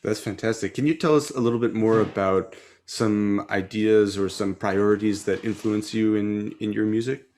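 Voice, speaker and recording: A low-voiced man hosts a webinar interview over a video call. He is polite and friendly, and he speaks in an easy, even way. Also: normal speed. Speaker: interviewer, bassoonist.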